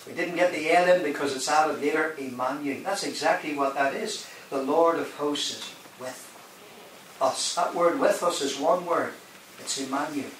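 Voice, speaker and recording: A preacher with a fairly high voice delivers an emphatic sermon, swinging between loud declarations and quiet asides. He is heard from a distance in a very reverberant hall with a faint hiss underneath. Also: normal speed. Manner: emphatic, rising and dropping for effect, questions the congregation. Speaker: guest preacher. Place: large hall.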